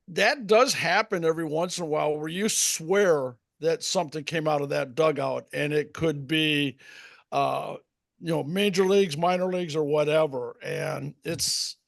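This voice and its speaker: An older American man, a former umpire, telling anecdotes in a deliberate, emphatic way. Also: a little high, gravelly, dry recording, clean studio sound. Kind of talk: anecdotal storytelling.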